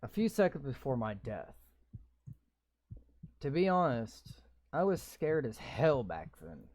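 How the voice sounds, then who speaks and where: A man reads a game's lines aloud at an unhurried pace and keeps interrupting with sarcastic, cursing remarks. His pitch swings widely as he talks. The sound is close and dry, with no room around it. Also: a little mellow, no room sound. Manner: sarcastic, swears while commenting. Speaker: gamer playing a visual novel. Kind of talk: reading game text aloud with live reactions.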